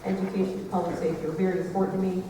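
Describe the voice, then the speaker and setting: A woman state legislator giving a brisk, confident campaign speech at a candidate forum. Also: fairly low pitch, little pitch movement, background noise. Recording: echoey hall, mic far off.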